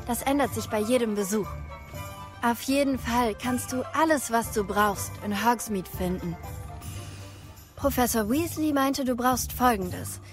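Teenage schoolgirl witch, played by an actress, eager, welcoming, upbeat, fairly high voice, audio drama, music bed. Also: close to an everyday voice. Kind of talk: German audio drama acting.

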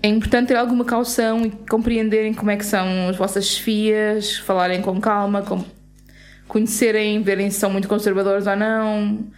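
A woman's voice: medium pitch, little pitch movement, moderate pace, faint music behind. A woman with a European Portuguese accent co-hosting a podcast, speaking earnestly and with indignation, often stopping and restarting mid-sentence. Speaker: podcast co-host. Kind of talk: casual podcast chat.

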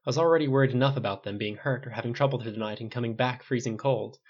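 A man voicing a calm, gentle but firm male caretaker with a quiet, frank manner in an audiobook story. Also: medium pitch, racing, lo-fi sound, lightly acted. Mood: worried reproach. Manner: quiet, soft, frank, uncompromising.

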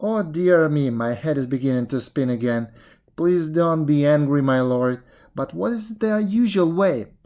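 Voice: medium pitch, animated, unhurried, warm tone, voice pushed up, close to an everyday voice. A storyteller voicing a sly jackal who acts muddled, plaintive and scatterbrained in an animal folktale. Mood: flustered pleading. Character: sly jackal feigning muddled, whimpering confusion.

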